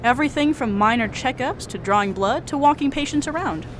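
A woman playing a brisk, folksy, matter-of-fact receptionist at a care center in an audio drama. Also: expressive. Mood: brisk, helpful. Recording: clear background rumble and noise.

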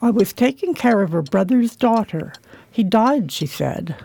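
A woman playing a humble village childminder, telling things in a folksy, deliberate way in a radio play. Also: medium pitch, expressive, creaky, dark tone. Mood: earnest, recounting.

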